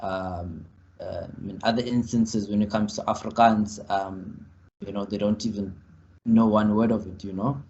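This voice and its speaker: A man speaks on a legal panel in a fairly low voice, stopping and restarting often with plenty of fillers. The sound is dull and muffled, as on a video call. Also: fairly even intonation, a little mellow, a little hiss or hum. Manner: halting, full of fillers and restarts. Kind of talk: panel discussion answer.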